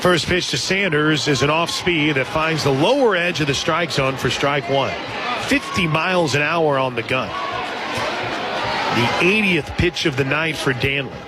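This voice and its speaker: An American man calling softball play-by-play live on the radio. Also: fairly high pitch, measured pace. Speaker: play-by-play announcer. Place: outdoor softball stadium broadcast booth. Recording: loud crowd and music behind broadcast voice. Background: crowd noise and stadium music.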